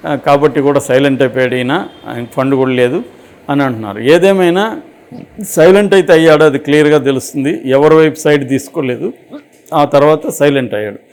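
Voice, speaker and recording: A man's voice: a little high, fairly quick, a little mellow. A man with a Telugu accent giving unscripted opinionated commentary, explaining through examples and rhetorical questions. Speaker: political and film commentator.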